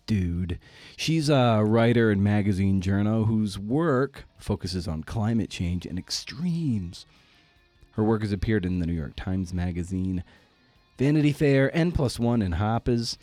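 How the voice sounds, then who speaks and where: A man's voice: lowish voice, lively intonation, measured pace, warm tone, no room sound, faint music behind. A man hosting a podcast in a casual, playful and informal way, rambling a little as he talks. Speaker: podcast host. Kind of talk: casual conversational interview with scripted introduction.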